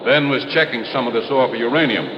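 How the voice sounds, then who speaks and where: A man voicing a middle-aged mine manager who lays out the facts plainly and earnestly. The old radio broadcast sounds roomy and dull, with a long echo and a clear hiss underneath. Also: mid-range voice, quick pace, lightly acted. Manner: explanatory, matter-of-fact. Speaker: mine official. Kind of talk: 1950s radio drama acting.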